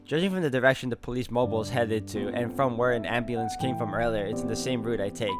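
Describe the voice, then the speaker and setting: A man's voice: mid-range voice, quick pace, loud music behind the voice. A man reading first-person visual novel narration aloud as he plays through the game.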